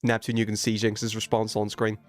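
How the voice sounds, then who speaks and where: A man doing casual, excitable gaming commentary, thinking aloud in slang as he chats with stream viewers. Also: fairly low pitch, a little fast, soft background music. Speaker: video game livestreamer.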